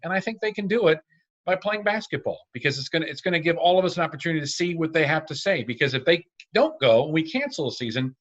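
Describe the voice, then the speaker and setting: A man's voice: a little high, very animated, fast talker, lo-fi sound. A man speaking as a guest announcer in a casual sports discussion, talkative and emphatic. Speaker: radio and arena announcer guest.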